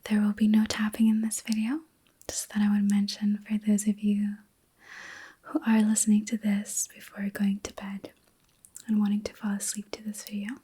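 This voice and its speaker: A woman hosting an ASMR reiki session in a soft, breathy whisper, gentle and soothing, with unhurried pauses. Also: mid-range voice, completely flat delivery. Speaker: ASMR host and reiki practitioner.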